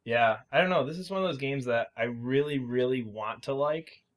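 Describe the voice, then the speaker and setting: A man with an average-pitched voice chats as a podcast co-host. His delivery is informal and hedging, as he thinks out loud about games in a casual, conversational style. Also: moderate pace.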